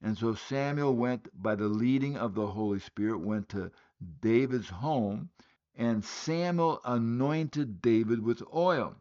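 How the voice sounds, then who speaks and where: A man from West Africa preaches in earnest, telling a story and pausing on rhetorical questions. His voice sits at an ordinary middle pitch for a man. The recording is muffled and lo-fi, as if the top end has been shaved off. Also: mellow. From West Africa, born in Liberia, raised in Guinea. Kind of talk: sermon.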